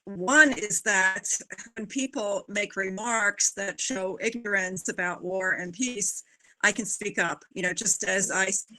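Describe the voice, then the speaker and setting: A woman's voice: mid-range voice, unhurried, sharp tone, poor audio. A woman political candidate speaking earnestly on a video call, with many fillers and restarts. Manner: earnest, conversational, with frequent fillers. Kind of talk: live roundtable discussion.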